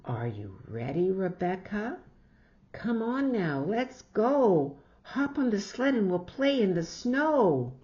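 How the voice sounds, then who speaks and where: A woman voicing an eager, carefree little girl in a picture-book story, animated and pleading. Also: medium pitch, slow pace, dull audio, voice pushed up. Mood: excited. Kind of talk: picture-book read-aloud.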